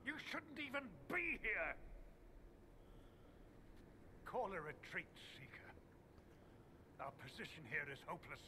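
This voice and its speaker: An actor playing a pompous, indignant church chancellor in a fantasy video game, with a declamatory manner. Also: male voice, high voice, sing-song, a little slow, pauses often. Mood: angry. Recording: noisy game audio with ambience.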